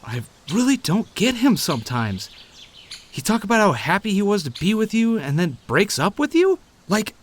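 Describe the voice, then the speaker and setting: Man acting a teasing young guy, higher-pitched. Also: a bit bright, heavily acted. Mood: concerned, playful. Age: young adult. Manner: playful, grumbling, conversational. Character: teasing, warm-hearted young man, athletic old friend. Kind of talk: audio roleplay acting, addressing the listener.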